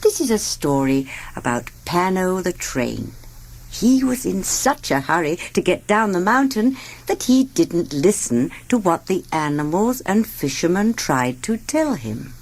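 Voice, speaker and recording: A woman reading a children's read-along story aloud, expressive and sing-song, acting out the sound effects. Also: lowish voice, a little slow. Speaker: storybook narrator.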